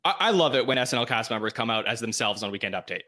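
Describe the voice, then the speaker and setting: Fast-talking bright-voiced man host. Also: medium pitch, lively intonation. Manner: quick, talks in long run-on sentences. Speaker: podcast host. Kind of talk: casual roundtable chat.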